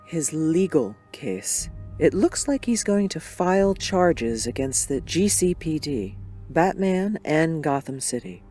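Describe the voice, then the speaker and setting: A man plays a concerned doctor in a comic drama. The voice sits a little high, measured and clinical. Faint music plays behind. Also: animated, dark tone. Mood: matter-of-fact. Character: concerned, serious doctor giving clinical reports. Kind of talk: superhero fan dub drama.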